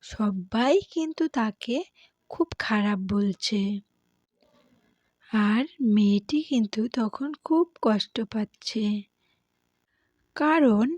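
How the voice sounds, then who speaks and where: A woman's voice: on the high side, a little mellow, no room sound, dull audio. A woman storyteller narrating a cartoon tale in an informal spoken way, leaning on repeated emphatic filler words.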